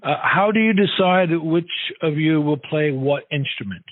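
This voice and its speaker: A man hosting a casual radio interview, haltingly forming his questions and repeating words as he goes. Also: on the high side, dry recording. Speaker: radio show host, interviewer. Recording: narrow, dull phone-like sound.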